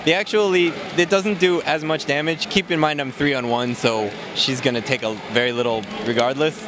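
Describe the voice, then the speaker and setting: Man explaining a game over loud noise. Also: a little high, normal speed. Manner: relaxed, explanatory, many fillers. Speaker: game developer demoing a character. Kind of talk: casual gameplay walkthrough. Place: convention floor, noisy. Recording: noisy event recording with background noise.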